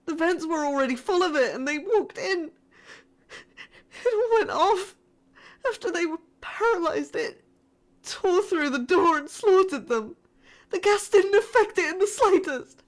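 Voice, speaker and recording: A woman playing a frightened spaceship crew survivor sending a distress message in a scripted sci-fi horror audio drama, formal but shaken and halting. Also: very high voice, lively intonation. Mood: anguished, near tears. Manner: formal reporting that breaks into shaken, halting, emotional delivery.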